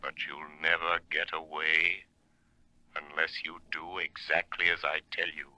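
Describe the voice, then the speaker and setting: An actor playing a menacing, commanding phantom avenger in a 1930s radio drama, speaking deliberately and ominously. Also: male voice, very deep voice, level tone, bright, forceful tone, dry recording, exaggerated voice, low-quality recording. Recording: old 1930s radio recording.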